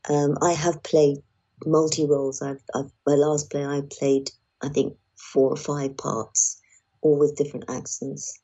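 A woman's voice: sits low, flat delivery, unhurried, mellow, breathy edge, dull audio. A woman who works as an actor and narrator, speaking in a podcast conversation. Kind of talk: podcast conversation with read-aloud passages.

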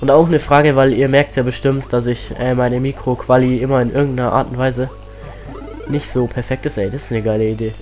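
A teenage boy with a cold, chatting excitedly as he plays a platform game on his channel, full of rambling asides. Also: medium pitch, fairly quick, soft background music. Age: teens. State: has a cold. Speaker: let's play host. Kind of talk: casual game commentary.

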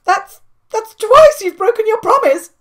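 A woman's voice: sits high, very animated, moderate pace. A woman voicing a young female caterpillar who scolds her sweetheart for breaking his promise. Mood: reproachful. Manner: reproachful and dramatic.

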